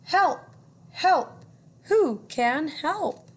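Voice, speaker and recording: Stuck duck for a picture book, played by a woman: high-pitched, pleading, stretched-out cries. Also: animated, full character voice. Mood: pleading, urgent. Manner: drawn-out, pleading calls. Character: stuck cartoonish duck, loudly pleading for help. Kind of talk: children's picture book read-aloud.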